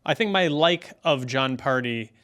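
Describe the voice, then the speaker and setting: A man's voice: medium pitch, a little slow, fairly bright tone. A man from the American Midwest co-hosting a podcast with dry, understated humour in casual banter. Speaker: podcast co-host.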